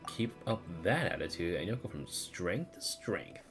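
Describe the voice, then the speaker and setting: An actress playing a brisk, confident woman trainer in a video game, upbeat and friendly with a challenging manner. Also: rumbling low, sing-song, music in the background, heavily acted.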